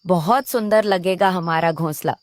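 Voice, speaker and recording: A woman's voice: on the low side, normal speed, no room sound, natural voice. A woman voicing an irritable, envious female crow in a children's cartoon, complaining and coaxing. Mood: coveting.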